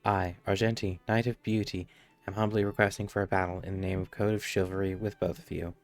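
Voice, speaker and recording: A man's voice: deep voice, little pitch movement, a little fast. A man streaming a game, reading dialogue aloud and commenting casually as he plays. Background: game music.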